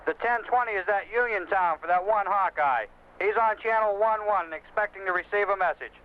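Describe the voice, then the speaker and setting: A businesslike trucker relays messages in clipped CB jargon. The voice is high for a man and comes muffled over the radio. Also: a little fast. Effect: CB radio filter. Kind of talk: scripted CB radio demonstration dialogue.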